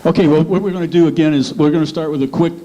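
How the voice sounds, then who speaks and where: An American man moderating a radio panel informally, with a halting delivery. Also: a little high, fairly even intonation, mellow, slight background noise, rough recording. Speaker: radio show host, moderator. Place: storefront meeting room, live event.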